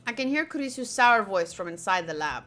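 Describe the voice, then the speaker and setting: A woman's voice: medium pitch, lively intonation, brisk, pushed and bright, soft background music. A woman streaming a game, reading its text aloud and chatting casually with playful asides.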